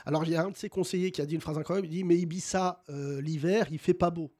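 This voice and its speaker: A man hosting a live comedy talk show, teasing guests and the audience with rapid-fire jokes. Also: on the high side, steady tone, darkish tone. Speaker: host.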